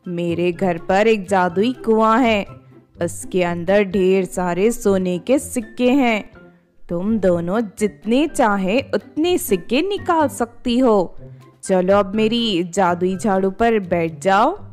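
Scheming cartoon witch, put on by a woman, persuasive, bossy, unhurried, children's fairy tale. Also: medium pitch, darkish tone, faint music behind, lightly acted. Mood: falsely kind. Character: scheming witch, sweet-talking then commanding her captives.

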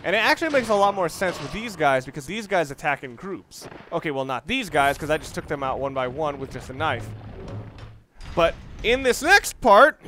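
A man giving unscripted gameplay commentary, explaining things in a halting, analytical way. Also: a little high, a bit bright, slight background noise. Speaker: let's play commentator. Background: video game sounds and music.

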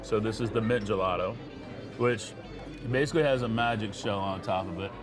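A man's voice: on the low side, a little fast. Enthusiastic middle-aged man over music. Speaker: food show host, chef. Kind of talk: casual on-location food show chat and presenting. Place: restaurant dining rooms. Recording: music behind the voice.

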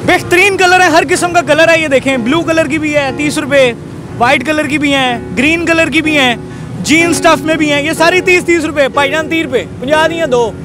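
A young man, presenting a street market to camera in an energetic, repetitive salesman's way. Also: very high-pitched, brisk, music in the background. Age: 20s. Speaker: street vlogger and host. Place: outdoor roadside market. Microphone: handheld recorder held at chest. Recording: noisy busy street with traffic and crowd. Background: crowd and passing vehicles.

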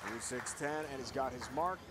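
A man's voice: medium pitch, moderate pace, a loud crowd. A man calling bowling shots as a play-by-play commentator, in clipped, terse phrases. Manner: clipped, terse calls of each shot. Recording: TV broadcast with arena noise behind.

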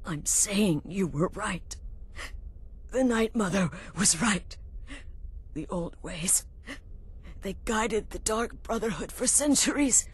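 An actress playing a weak, repentant woman who leads assassins in a video game, speaking haltingly with a husky, pained voice. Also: medium pitch, animated, full character voice. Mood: pleading, remorseful. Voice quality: husky, breathy, broken. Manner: halting, strained, confessional. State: weak, gasping, in pain. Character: dying repentant female leader of an assassin family. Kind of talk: dramatic video game acting.

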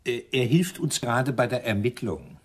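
A man's voice: mid-range voice, lively intonation, normal speed, breathy edge. A male actor playing a dry, sardonic police inspector in a German radio crime play, ironically polite. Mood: dryly official.

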